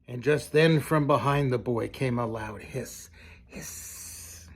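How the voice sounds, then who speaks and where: An older man reading a children's storybook aloud like a grandfather, with expressive, deliberate phrasing and casual asides. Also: mid-range voice, breathy edge.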